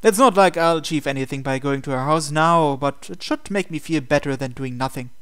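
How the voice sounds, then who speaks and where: A man's voice: on the high side, fairly quick, fairly bright tone, smooth. A man hosting a game playthrough, reading visual novel narration aloud expressively with casual asides.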